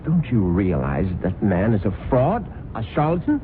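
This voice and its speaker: An actor playing a smooth, scheming husband in an old radio drama who plots his wealthy wife's murder. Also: on the low side, huge pitch swings, moderate pace, a little hiss or hum. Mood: agitated. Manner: smooth, then flustered and scheming. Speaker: husband, narrator of the story. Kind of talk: 1970s radio drama acting. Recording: tinny old radio broadcast with music.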